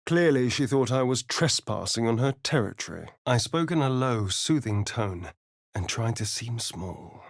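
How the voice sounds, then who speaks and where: An actor playing a sardonic, vain vampire spawn rogue in a video game, with a theatrical, drawling British delivery. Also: male voice, medium pitch, lively intonation, measured pace, no echo, studio quality. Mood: dramatic narrating. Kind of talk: video game character voice lines.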